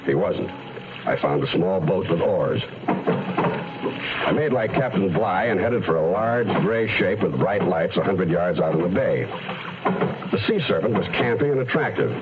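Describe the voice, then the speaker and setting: An actor playing a world-weary private detective in a radio drama, dry, wry and understated in manner. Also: male voice, deep voice. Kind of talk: hardboiled radio drama dialogue and first-person narration. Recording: noisy, tinny phone-like radio recording.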